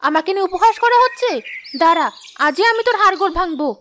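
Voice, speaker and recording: A woman playing a hot-headed young village wife and mother in a cartoon drama, sharp and accusing, firing off rhetorical questions. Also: very high-pitched, lively intonation, fast, a little hiss or hum. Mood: scolding. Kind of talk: Bengali cartoon drama acting.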